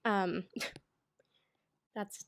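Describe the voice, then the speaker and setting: A woman's voice: mid-range voice, steady tone, extremely slow, gravelly, noisy background. Congested young woman, chatty and self-mocking. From United States. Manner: chatty, self-deprecating, lots of "like". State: congested, stuffy-nosed. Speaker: knitting YouTuber. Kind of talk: casual chatty pattern roundup.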